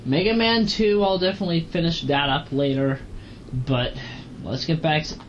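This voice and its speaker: An androgynous, mid-pitched voice rambles casually through an amateur review, repeating phrases and correcting itself as it goes. The recording is muffled home audio, with a faint fan noise behind the speech. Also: fairly even intonation, moderate pace. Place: at home, moving between kitchen and bedroom. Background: fan running.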